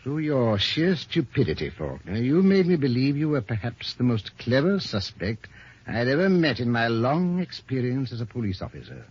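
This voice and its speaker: An actor playing a shrewd, stern veteran police inspector in 1950s radio drama style, authoritative and declamatory. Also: male voice, medium pitch, very animated, normal speed, breathy edge. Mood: stern, commanding. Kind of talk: 1950s American radio crime drama acting. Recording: muffled, lo-fi old radio recording.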